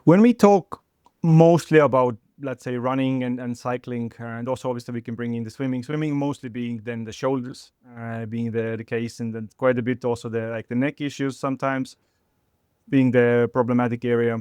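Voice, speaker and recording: A middle-aged man, a sports chiropractor guest answering in a halting podcast interview with many fillers and restarts. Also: medium pitch, steady tone, darkish tone, clear, resonant tone, no room sound, clean studio sound. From Estonia.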